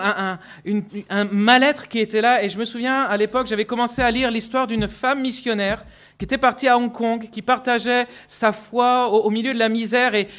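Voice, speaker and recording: A man from Brittany preaching as a guest pastor in a church, telling his testimony with frequent repeated words and earnest pauses. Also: very high voice, fairly bright tone. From Brittany, France. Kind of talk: sermon with personal testimony. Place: church sanctuary with mezzanine.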